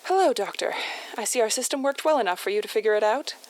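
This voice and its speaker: A woman's voice: fairly high pitch, expressive, fast, lightly acted, rough recording. A woman playing a formal, businesslike foundation contact speaking by radio in an audio drama.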